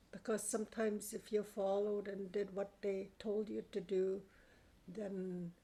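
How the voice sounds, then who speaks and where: Woman survivor giving testimony: low-key, gravelly delivery, even and unhurried. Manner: slow, earnest, halting with pauses. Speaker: residential school survivor. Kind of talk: personal testimony.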